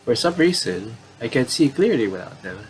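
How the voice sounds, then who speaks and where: A man's voice: medium pitch, very animated, normal speed, slight background noise. A man giving casual let's play commentary and reading game text aloud, stumbling and restarting as he reads. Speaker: gaming commentator.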